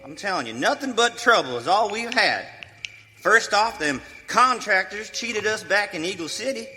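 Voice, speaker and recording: A griping settler father in a western radio play, with a rustic American accent. His voice is high, piercing and swings widely in pitch. He talks in exasperated, folksy complaints. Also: animated, normal speed, bright, forceful tone, full character voice. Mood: grumbling. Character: cranky, grumbling settler father, always complaining. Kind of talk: live western radio theater acting. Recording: reverberant hall, faint background noise.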